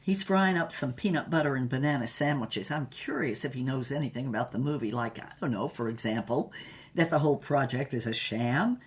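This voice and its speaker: A bold, sultry spy and screen starlet as performed by an actor tells her own adventures. Her narration is theatrical and wry, in a medium-pitched voice suited to audio drama. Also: brisk, slightly rough, close to an everyday voice. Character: bold, sultry female spy and film star telling her exploits. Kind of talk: dramatic first-person scripted narration.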